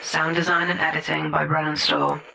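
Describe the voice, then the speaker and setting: A man with a gravelly voice reading scripted end credits as an announcer, sounding distant under music. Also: fairly high pitch, flat delivery, normal speed, pushed and bright, a little hiss or hum.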